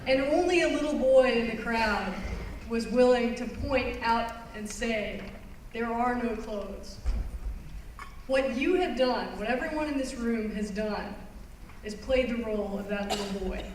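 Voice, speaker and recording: Woman, fairly high voice, earnest explanatory talk, very echoey hall, distant mic. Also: a little slow, slight background noise. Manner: earnest, explanatory, paces phrases for emphasis. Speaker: environmental lawyer giving a talk. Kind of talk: public presentation. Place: conference hall. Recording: roomy hall recorded from far off.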